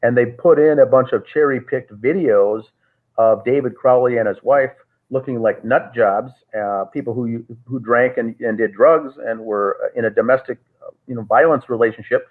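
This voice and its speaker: A man's voice: medium pitch, moderate pace. A man talking as an interview guest on a call, telling a long story with many "uh" fillers and restarts. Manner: rambling, frequent "uh" fillers and restarts. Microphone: call audio. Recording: tinny phone-like call audio.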